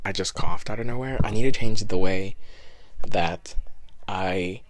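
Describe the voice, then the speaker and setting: A young man in his twenties with a raspy voice, hosting a casual solo podcast and chatting in a rambling, self-deprecating way, a little out of breath. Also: on the low side, measured pace. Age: 20s. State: slightly out of breath. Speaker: podcast host. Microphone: podcast microphone.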